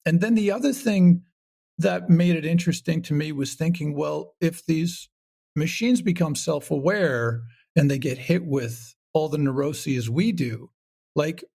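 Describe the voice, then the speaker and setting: Guy from canada, kinda high voice with lots of fry, husky, rambly animated podcast guest. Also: measured pace. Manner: animated, rambling, acts out his thoughts. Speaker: audio comedy creator, podcast guest. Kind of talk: casual interview talk.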